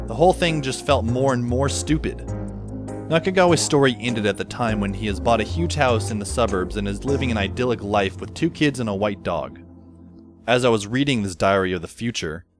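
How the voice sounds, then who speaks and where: A man's voice: medium pitch, lightly acted. A man playing an exasperated, sardonic teenage schoolboy who narrates an audio drama in a wry, self-questioning, conversational way. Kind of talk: first-person audio drama narration and dialogue. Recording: music behind the voice. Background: background music.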